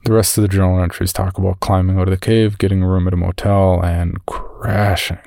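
Man acting as weary caver, deep gravelly voice, mellow delivery, uneasy horror journal narration. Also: flat delivery, a little fast, dry recording, clean studio sound. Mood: measured, uneasy. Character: weary male amateur caver recounting his journal, uneasy. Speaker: narrator of an audio horror story. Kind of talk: first-person horror story narration, read as a journal.

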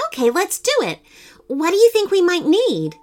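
A woman voicing an eager, confident young boy adventurer in a children's story, excitable and matter-of-fact. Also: extremely high pitch, normal speed, voice pushed up, exaggerated voice.

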